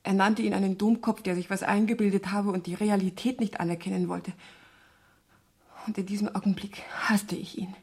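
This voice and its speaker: A young woman in a radio crime play, troubled yet stubbornly loyal. Her medium-pitched voice is breathy and husky, with a hesitant, earnest delivery. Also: steady tone, moderate pace, a little mellow. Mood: distressed. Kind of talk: 1970s German radio crime drama acting.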